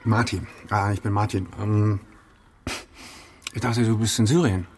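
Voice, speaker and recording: A man's voice: fairly low pitch, fairly even intonation, unhurried, slightly rough. An actor playing a casual but probing man in a radio drama, asking politely with small hesitations. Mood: wary, polite. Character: casual, probing man, the girlfriend's new housemate. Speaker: actor in a crime radio play. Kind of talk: naturalistic radio drama acting.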